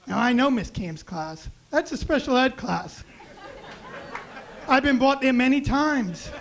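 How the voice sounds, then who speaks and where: A young man with a high, raspy voice doing a self-deprecating deadpan stand-up set on a club stage mic. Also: measured pace, some chatter behind, a little hiss or hum. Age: young adult. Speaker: stand-up comedian. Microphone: handheld stage mic.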